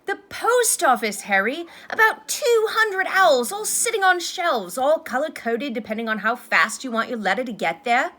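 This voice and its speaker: Woman voicing an excitable, bright-voiced teenage schoolboy. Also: high-pitched, a little fast, few pauses, voice pushed up, exaggerated voice. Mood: excited. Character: excitable, chatty teenage schoolboy back from an outing. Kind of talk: storybook character voice.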